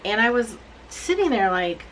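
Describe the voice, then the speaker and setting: A woman in her forties recapping books she has read to camera, blunt, animated and self-mocking. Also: fairly high pitch, normal speed, a little hiss or hum, rough recording. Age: 40s. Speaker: book reviewer. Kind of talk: casual book recap to camera.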